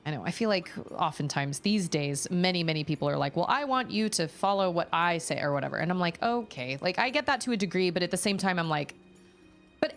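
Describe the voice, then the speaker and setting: A woman streamer chatting casually at home while playing a game live, thinking aloud and restarting her sentences often. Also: medium pitch. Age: adult parent.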